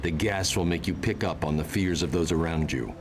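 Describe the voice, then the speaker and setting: An actor playing a stern masked vigilante giving terse, grave mission briefings in a video game. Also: male voice, low-pitched, steady tone, a little fast, background noise, lightly acted. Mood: grave, commanding. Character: stern, commanding male masked vigilante hero. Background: music.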